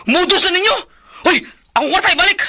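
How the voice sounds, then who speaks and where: Hot-tempered, abusive Cebuano male customer for radio drama. Very high, forceful voice, confrontational. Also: fast talker, dry recording, clean studio sound, exaggerated voice. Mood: furious, accusing. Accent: Cebuano Filipino. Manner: accusing, shouting, confrontational. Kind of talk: Bisaya radio drama acting.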